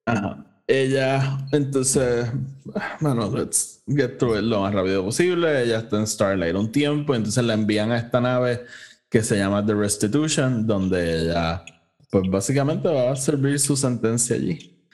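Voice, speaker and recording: A man's voice: medium pitch, measured pace, darkish tone. A man with a Puerto Rican accent co-hosting a podcast in casual, informal talk, swearing and slipping between Spanish and English. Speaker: podcast co-host. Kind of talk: casual conversational review.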